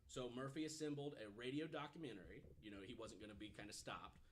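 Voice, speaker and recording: A man with an average-pitched voice, recorded with faint noise and clicks behind him. He explains things in a loose, conversational storytelling way, often padding with "you know". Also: fairly even intonation, fast talker. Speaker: podcast panelist.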